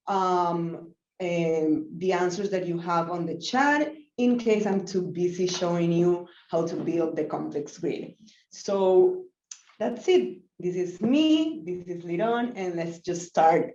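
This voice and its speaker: Woman, 30s, from Venezuela; relaxed, unhurried tutorial delivery with fillers, webcam mic in a roomy office. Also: lowish voice. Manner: easygoing, many ums and self-corrections. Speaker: web designer giving a live tutorial. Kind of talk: live screen-share tutorial. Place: small office room, indoors. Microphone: laptop webcam mic on a video call. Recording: roomy, dull lo-fi call audio.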